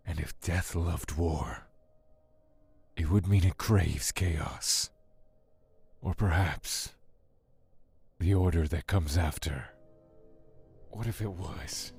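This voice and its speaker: An actor in an audio drama playing Death as a solemn, tender male lover who speaks in a measured, philosophical way. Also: low voice, creaky, darkish tone, breathy edge, full character voice. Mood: grave, intense. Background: music.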